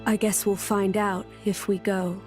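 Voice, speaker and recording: An actress playing a young, earnest heroine in a video game, restrained and directly questioning. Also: female voice, medium pitch, flat delivery, a little slow, a little mellow, natural voice. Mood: searching, sceptical. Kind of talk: dramatic video game voice acting. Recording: orchestral music behind.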